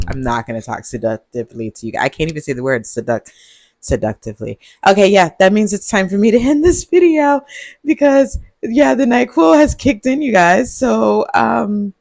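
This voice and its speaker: A woman vlogger, groggy on cold medicine, talking to her viewers in a husky voice and rambling with giggles. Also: medium pitch, dry recording. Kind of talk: casual vlog monologue. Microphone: earbuds mic.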